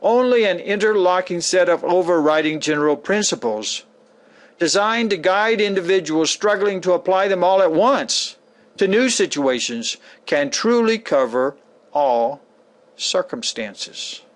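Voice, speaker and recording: Male reader, noticeably high voice, emphatic and theatrical, for a scripted religious lecture. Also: expressive. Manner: emphatic, theatrical, deliberate phrasing with rhetorical questions. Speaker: author reading a sample of an e-book lecture. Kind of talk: dramatic scripted reading, sermon-like lecture.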